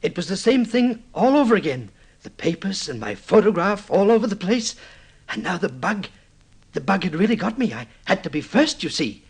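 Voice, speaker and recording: An actor playing an excitable, obsessive newlywed man in an old-time radio drama, halting and stammering as he tells his story. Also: high voice, husky, full character voice. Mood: proud, excited. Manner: halting, stammering repeats, swinging into boastful excitement.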